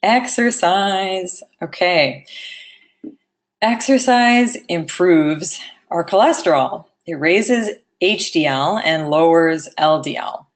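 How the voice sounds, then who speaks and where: Low-voiced woman giving a webinar. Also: animated, slow. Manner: low, lethargic-sounding voice; conversational, frequent ums. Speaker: webinar presenter. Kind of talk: educational webinar talk.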